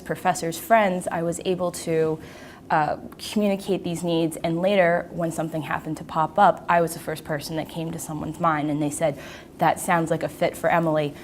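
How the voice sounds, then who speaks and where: A young woman from Maine with a low voice that stays flat and even, hardly rising or falling. She speaks in a measured testimonial manner with a few fillers. Faint ambient noise sits under her. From Maine, USA. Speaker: business school graduate. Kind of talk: testimonial interview.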